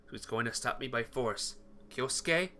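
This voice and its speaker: A man's voice: medium pitch, flat delivery, fairly quick, bright, forceful tone, slight background noise. A man reading visual novel text aloud as dramatic, earnest, emotive story narration for a playthrough.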